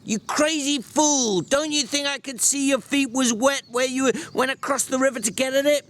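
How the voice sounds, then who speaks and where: A man's voice: very high voice, steady tone, moderate pace, a bit bright, pitched above the performer's own voice. A man voicing an irritable, bossy but protective working man, brusque and scolding, in a dramatic audiobook reading. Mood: angry.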